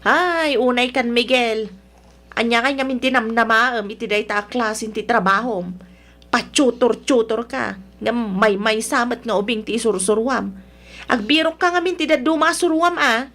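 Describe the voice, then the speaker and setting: A woman's voice: on the high side, normal speed, fairly bright tone. A woman with an Ilocano Filipino accent playing a nagging, scornful middle-aged mother-in-law in a radio drama, sarcastic and demanding. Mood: scornful, scolding. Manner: berating, sarcastic.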